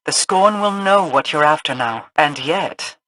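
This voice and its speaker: Grave, imperious mystic ally. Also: female voice, deep voice, animated, a bit bright, slight background noise, low-quality recording. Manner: formal, grave, measured, imperious. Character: regal, cryptic, commanding sorceress-like woman ally. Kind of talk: video game voice acting.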